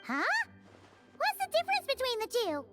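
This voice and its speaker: A woman's voice: very high voice, wildly swooping pitch, moderate pace, loud music behind the voice, full character voice. A woman voicing a tiny, chirpy, curious fairy companion who talks about herself in the third person. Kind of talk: video game voice acting.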